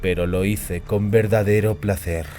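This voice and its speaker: A man voicing a sadistic half-elf henchman in an audiobook, deliberate, cold and taunting in his menace. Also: fairly low pitch, fairly even intonation, darkish tone, faint music behind, lightly acted. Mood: cold boasting. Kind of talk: audiobook character reading.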